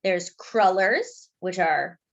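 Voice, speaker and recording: A woman reading a picture book aloud expressively to young children, pausing to explain things as she goes. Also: lowish voice, lo-fi sound. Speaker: children's storyteller. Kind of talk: animated picture-book read-aloud with asides.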